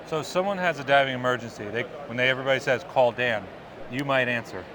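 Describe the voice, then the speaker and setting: Fast, chatty male host, mid-pitched, jokey interviewer on a noisy trade floor. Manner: quick, chatty, joking. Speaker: podcast host. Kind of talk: casual interview. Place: busy expo booth floor.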